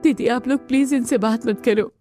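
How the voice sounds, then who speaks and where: A woman voices an innocent young bride in a family cartoon. Her voice is high, meek and plaintive. Music plays underneath. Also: normal speed, mellow, close to an everyday voice. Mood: worried. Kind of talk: Hindi family cartoon voice acting.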